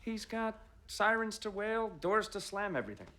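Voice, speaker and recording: An actor playing a pushy, grandiose 1920s radio play director, theatrical and brisk in manner. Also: male voice, sits high, sing-song, sharp tone, exaggerated voice. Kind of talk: television period drama acting.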